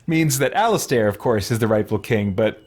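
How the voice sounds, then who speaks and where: A man's voice: fairly low pitch, expressive, brisk, a little mellow, slightly rough. A man hosting a let's play, giving informal gaming commentary as he thinks aloud.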